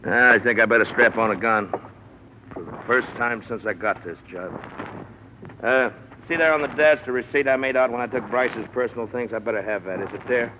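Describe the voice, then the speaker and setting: An actor playing a troubled small-town sheriff in a 1950s radio drama, steady and plain-spoken. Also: male voice, mid-range voice, brisk, noisy background, close to an everyday voice. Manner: shouting over a mob, then quiet and troubled. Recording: tinny lo-fi old radio broadcast.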